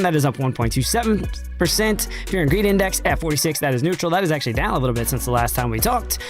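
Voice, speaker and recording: A man hosting a casual crypto trading livestream, slangy and hyped, his voice raspy and hoarse from a cold. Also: on the high side. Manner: slangy, hyped, addresses chat directly. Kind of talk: casual livestream market talk.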